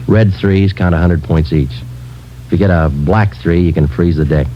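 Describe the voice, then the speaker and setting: An actor in a 1950s radio drama playing a calm police sergeant who talks in a clipped, matter-of-fact way. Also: male voice, low-pitched, moderate pace, a little hiss or hum, lightly acted. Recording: dull lo-fi old radio broadcast with music.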